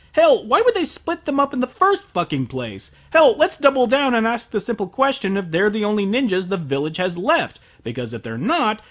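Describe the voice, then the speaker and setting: Snarky guy ranting thru an anime review, swears a lot, pitch all over the place. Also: a bit bright. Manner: sarcastic, profane, ranting. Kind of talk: comedic review narration.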